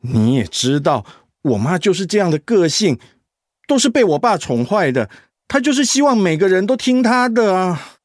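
A man's voice: high-pitched, big pitch swings, moderate pace, no room sound, studio quality. A man acting in a radio drama as a remorseful, conflicted grown son, earnest and pleading in manner. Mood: placating.

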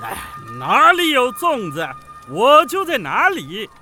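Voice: high-pitched, wildly swooping pitch, slow, sharp tone, faint music behind, full character voice. An actor playing a pompous ancient poet judging food in a children's radio drama, theatrical and savoring every phrase. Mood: grandiose.

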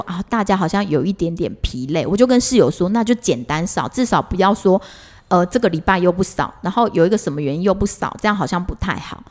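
A middle-aged woman with a Taiwanese accent chatting casually and expressively as a podcast co-host, recounting everyday life like a story. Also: on the low side.

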